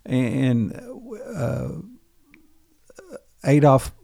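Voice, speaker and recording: An older history professor, interviewed as a guest, telling a story unhurriedly with many pauses and fillers. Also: male voice, mid-range voice, steady tone, gravelly, warm tone.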